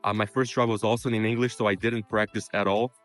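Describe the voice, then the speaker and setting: A man's voice: lowish voice, little pitch movement, soft background music. A man from Brazil whose first language is Portuguese, giving casual advice to camera in an informal way with frequent fillers. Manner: quick, informal, frequent "you know" fillers. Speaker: vlogger giving career tips.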